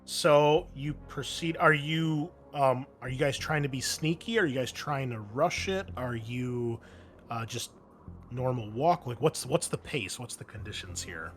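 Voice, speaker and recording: A man's voice: medium pitch, a little slow. A man running a tabletop roleplaying game as game master, mixing improvised narration with conversational explanations to his players. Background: music under the voice.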